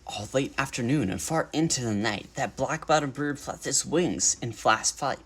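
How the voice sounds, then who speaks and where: A man reading a children's storybook aloud in an animated narration style, as a bedtime story livestreamer. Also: mid-range voice, moderate pace, breathy edge.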